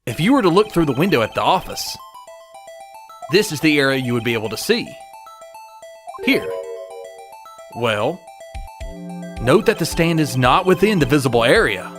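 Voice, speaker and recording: Man playing determined male attorney, slightly high pitch, lively intonation, confrontational, game dub, background music. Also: normal speed, long pauses. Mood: accusing. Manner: assertive, pressing. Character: young male defense lawyer, determined, confrontational. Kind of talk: voiced video game dub acting. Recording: music under the voice.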